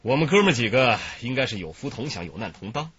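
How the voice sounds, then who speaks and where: An actor playing a ruthless, commanding gang boss in a radio crime drama, coarse and coldly mocking, with a husky voice. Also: male voice, medium pitch, sing-song, fast talker, fairly bright tone, muffled recording, exaggerated voice. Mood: cold, menacing. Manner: commanding, coarse, falsely reassuring.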